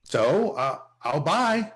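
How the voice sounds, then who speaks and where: Man playing a very animated, hapless office salesman. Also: a little high, very slow, heavily acted. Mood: wheedling. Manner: sarcastic, wheedling, hesitant. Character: self-important, hapless male office salesman. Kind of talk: sitcom table read. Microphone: video call.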